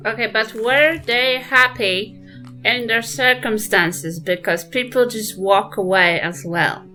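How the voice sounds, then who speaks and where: A woman's voice: fairly high pitch, slow pace, sharp tone, faint music behind, rough recording. A woman with a New Zealand accent playing a tabletop game, making dry, sarcastic asides. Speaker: player. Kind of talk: casual tabletop play.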